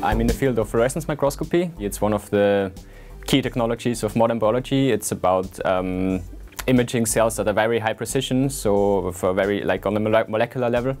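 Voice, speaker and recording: A man's voice: fairly low pitch, brisk, darkish tone, faint music behind. A young man in his twenties from Austria, speaking English, talking earnestly with many fillers as a PhD student in an interview. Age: 20s. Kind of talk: scientist portrait interview.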